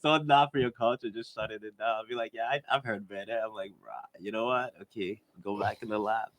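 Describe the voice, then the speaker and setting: A man's voice: fairly low pitch, fairly even intonation, fast, fairly bright tone, no echo. A man from Nigeria chatting casually and in slang as an interview guest. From Nigeria, grew up in Lagos. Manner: slangy, conversational. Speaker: interview guest, Afrobeats artist and producer.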